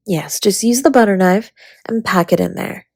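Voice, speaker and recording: A woman playing a flirty, affectionate dragon baker spouse in a romantic roleplay audio, with a breathy, husky, teasing delivery. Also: mid-range voice, normal speed, darkish tone, no echo, clean studio sound, close to an everyday voice. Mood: playful, instructive. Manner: teasing, intimate, often slipping into breathy whispers.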